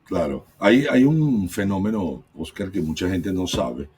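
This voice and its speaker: A man's voice: deep voice, sing-song, unhurried, a little mellow, a little hiss or hum. A man with a Venezuelan Spanish accent, a regular guest on a real estate podcast, explaining at length and tagging his points with rhetorical questions. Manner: expansive, lecturing with rhetorical tags. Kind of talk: explanatory conversation.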